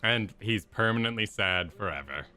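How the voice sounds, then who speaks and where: Bright, animated man, dry humor. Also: fairly low pitch, normal speed. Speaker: streamer co-host explaining game cutscenes. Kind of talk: casual chat commentary. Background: faint game music.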